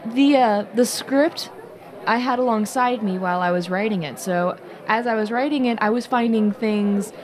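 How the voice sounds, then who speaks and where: A woman's voice: on the high side, moderate pace, a little mellow, some chatter behind. A woman chatting casually as a podcast co-host. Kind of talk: casual chat.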